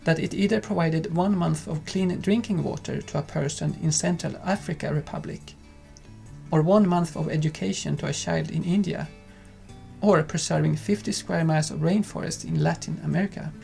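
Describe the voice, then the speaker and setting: Calm man with a gravelly voice. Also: fairly high pitch, moderate pace, soft background music. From Sweden. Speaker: vlog co-host. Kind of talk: casual chat and sponsor read.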